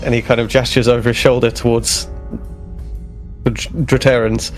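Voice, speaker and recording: Man, tabletop player, middle-range voice, casual improvised narration, soft music in background. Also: medium pitch, little pitch movement, normal speed.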